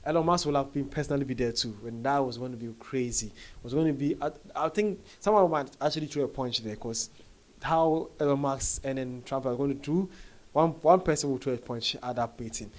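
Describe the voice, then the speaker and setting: A man's voice: medium pitch, a little fast. A man from Africa with an African accent gives casual news commentary, talking conversationally to viewers and often repeating his phrases.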